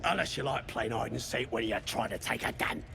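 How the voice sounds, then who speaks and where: A man voicing a crude, jeering goblin guard in a video game, with a British accent and a rough, mocking manner. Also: medium pitch, brisk, background noise. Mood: sardonic. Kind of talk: video game character acting.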